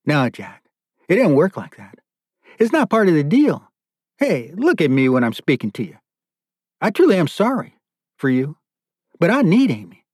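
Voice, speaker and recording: A man's voice: on the high side, wildly swooping pitch, moderate pace, long pauses, a little mellow, breathy edge, no room sound, clean studio sound, voice pushed up, heavily acted. A man voicing a smug, menacing male captor in an audiobook, commanding and possessive with false tenderness. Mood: obsessive, self-pitying. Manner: commanding, mock-sympathetic. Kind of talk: audiobook character dialogue.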